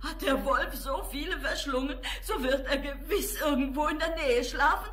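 A woman's voice: high voice, normal speed, fairly bright tone. A woman playing an anxious, tender mother goat in a children's fairy-tale radio play, emotional and dramatic. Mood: worried, resolute. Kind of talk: 1960s German children's radio play acting.

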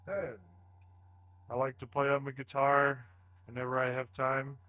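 A man's voice: medium pitch, monotone, a little slow, no room sound. A synthetic man's voice in a speech demo, casual and chatty, in dull, dry lo-fi audio.

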